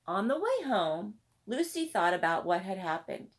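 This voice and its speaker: A woman reading a children's storybook aloud in expressive narration. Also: mid-range voice, normal speed. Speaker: storybook reader.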